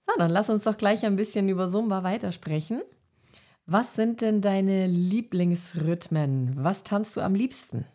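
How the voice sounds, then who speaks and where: A woman's voice: medium pitch, a little slow, mellow. A woman hosting a podcast, asking questions in a casual, chatty interview style.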